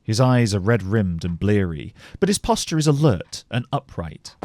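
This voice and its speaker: A man narrating a scripted fantasy story as a measured, descriptive storyteller. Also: on the low side, very animated, darkish tone.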